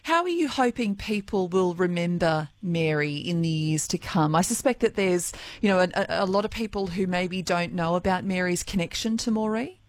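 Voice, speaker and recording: A woman interviewing a guest on the radio, asking brief, gentle questions. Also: lowish voice, animated, moderate pace, hardly any pauses, no room sound.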